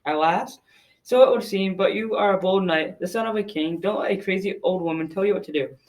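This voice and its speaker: A woman's voice: medium pitch, brisk, darkish tone, far from the mic, natural voice, rough recording. A young adolescent girl playing a sly, coaxing old talking tree in a school radio drama. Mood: sly, dismissive. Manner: coaxing, persuasive.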